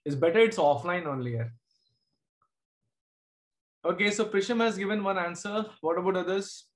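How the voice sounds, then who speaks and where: A man's voice: high voice, moderate pace. A man in his thirties from Jharkhand with an Indian English accent and Hindi as his first language, teaching math on a video call, explaining step by step and checking in with students often. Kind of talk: online class teaching. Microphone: computer mic on a video call.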